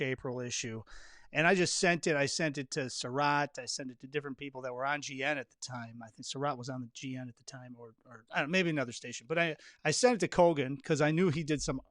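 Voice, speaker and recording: A man's voice: medium pitch, fairly bright tone, no room sound, studio quality. A man hosting a podcast, telling anecdotes in an informal, slangy, casual style. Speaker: podcast host.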